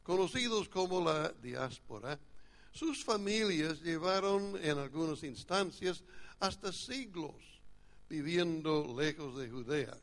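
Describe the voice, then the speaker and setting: A man whose first language is English preaching a sermon at a church retreat in Spanish with an American accent, in a measured, explanatory teaching manner. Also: high voice.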